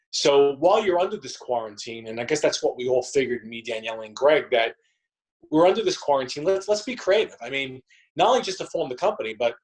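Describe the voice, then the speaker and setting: A man talking casually as an interview guest, halting and often repeating his words and phrases. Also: a little high, poor audio. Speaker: interview guest, theater company co-founder.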